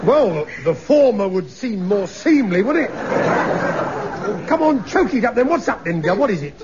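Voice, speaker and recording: An actor playing a blustering, pompous middle-aged father in a radio comedy, loud and bossy, mangling long words. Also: sits high, big pitch swings, normal speed, over a noisy crowd, very noisy. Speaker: comic character. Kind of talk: 1950s radio comedy acting. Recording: dull old radio recording with studio audience.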